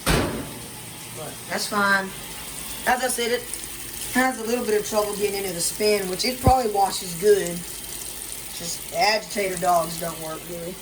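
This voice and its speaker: A man's voice: high-pitched, unhurried, pauses often. Rambling man over running water. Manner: rambling, hesitant, lots of fillers and restarts. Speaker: vlogger. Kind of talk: casual home walkthrough vlog. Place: indoor condo kitchen by a running washer. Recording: noisy with running water and appliance sounds.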